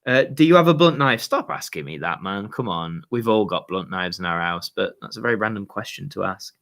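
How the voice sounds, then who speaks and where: Hoarse young man chatting. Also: on the low side, expressive, brisk, fairly bright tone. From Yorkshire, England. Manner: chatty, laid-back, lots of fillers and slang. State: slightly hoarse. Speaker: YouTube channel host. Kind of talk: casual livestream chat, reading viewer questions.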